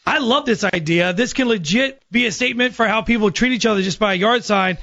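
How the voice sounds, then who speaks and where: Man, American, radio host, high voice, casual phone-in chat, muffled broadcast sound. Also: brisk, bright, forceful tone.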